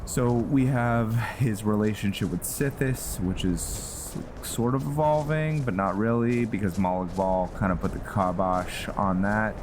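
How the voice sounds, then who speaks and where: A man giving casual gameplay commentary as host, thinking aloud in a halting way, with game music behind him. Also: on the low side, noisy background. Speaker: gaming channel host.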